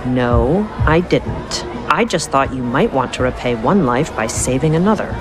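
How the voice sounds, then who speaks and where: An actress playing a pushy, sassy young friend, persuasive and teasing, in a video game cutscene. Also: female voice, a bass voice, animated, moderate pace, over music, crowd noise, rough recording. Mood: urging, teasing.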